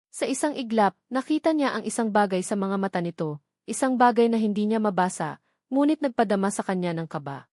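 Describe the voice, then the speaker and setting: A woman's voice: medium pitch, a little mellow, no echo, studio quality. A woman reading a scripted dramatic story as its narrator.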